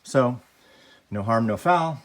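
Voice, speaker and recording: A man with a cold, his voice deeper than usual, chatting casually as he unboxes toys and grades each one. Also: animated, slow. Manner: plain, chatty, grading each item out loud. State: has a cold. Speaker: toy unboxing vlogger.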